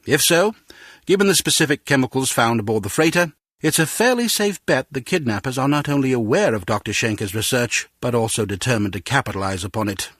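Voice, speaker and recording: A spy chief in a video game. His voice is husky, with plenty of rise and fall. He is measured, formal and authoritative, in a dry recording. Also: mid-range voice, lively intonation, fairly bright tone, dry recording. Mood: deliberate. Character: measured, authoritative British male spy agency director.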